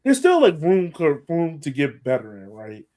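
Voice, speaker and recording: A man hosting a sports podcast, talking through a match in an animated, casual way and often checking his points with a quick "right?". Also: a little high. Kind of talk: casual match analysis chat.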